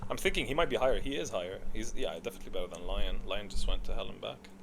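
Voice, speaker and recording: A man's voice: lowish voice, very fast, gravelly, fairly bright tone, a little hiss or hum. A man giving casual, opinionated ranking commentary, thinking aloud in an informal way. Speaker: gamer video creator.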